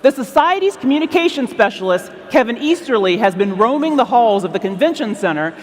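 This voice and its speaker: A woman hosts a ceremony from the stage in an upbeat, scripted style. Her voice sits fairly high and swings expressively. It rings through a big reverberant hall, with faint music behind. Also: sing-song, fairly quick. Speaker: ceremony host. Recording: echoey large hall sound, faint music.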